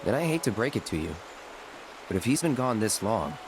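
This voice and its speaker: A man's voice: on the low side, big pitch swings, a little fast, noisy background. A man voicing an aloof, blunt young mercenary in a video game, speaking in a curt, guarded way. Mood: blunt, scornful. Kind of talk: English-language video game voice acting.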